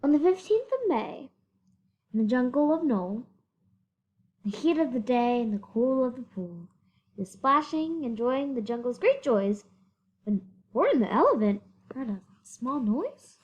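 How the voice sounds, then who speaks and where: A female reader doing a children's picture-book read-aloud, expressive, with short explanatory asides. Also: sits high, a little slow. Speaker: storybook reader.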